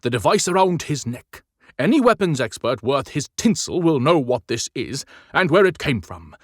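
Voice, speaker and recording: Man playing gruff, resolute old Santa Claus. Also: medium pitch, sing-song, normal speed, dry recording, studio quality, voice pushed up. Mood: matter-of-fact. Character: gruff, determined male Santa Claus, older action hero.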